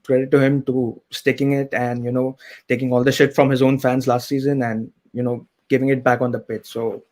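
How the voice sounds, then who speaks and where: A man calling in to a talk show, talking in a measured way and thinking aloud. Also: mid-range voice, little pitch movement, darkish tone. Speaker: caller. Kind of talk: casual call-in chat.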